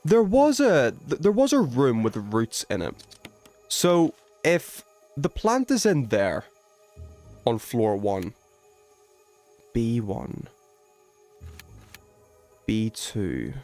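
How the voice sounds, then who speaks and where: A man talks his way through a livestreamed game with an animated, mid-pitched voice. He thinks aloud in casual slang, often questioning himself and trailing off. Music plays faintly behind him. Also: very slow, pauses often. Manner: informal slangy speech. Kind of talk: casual livestream gameplay chat.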